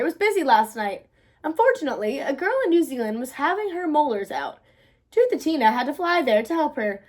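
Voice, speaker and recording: A woman voicing a courtly, polite male Cupid reading out a letter, formal and expressive. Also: sits high.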